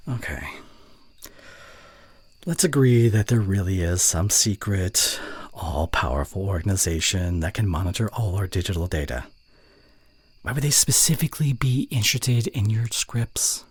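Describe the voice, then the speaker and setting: A man plays a young male college student in an intimate ASMR roleplay, with a very deep, heavily breathy and husky voice. He speaks softly and tenderly, a little hesitant, with reserved affection and gentle teasing. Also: lively intonation, normal speed, no room sound. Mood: exasperated, amused. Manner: soft, hesitant, tender delivery with whispered asides.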